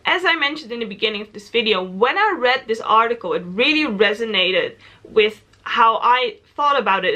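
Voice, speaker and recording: A woman's voice: fairly high pitch, pushed and bright. A Dutch woman in her twenties, a native Dutch speaker, talking thoughtfully and earnestly about her own experiences in a personal vlog. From Netherlands. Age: 20s. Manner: thoughtful, earnest, self-correcting. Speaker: travel vlogger. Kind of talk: reflective personal vlog talk.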